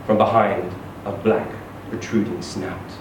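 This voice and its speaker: A man reading a short story aloud in an expressive, theatrical, emphatic storytelling style. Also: low-pitched, unhurried. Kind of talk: expressive live literary reading. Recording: echoey room, distant mic, faint noise.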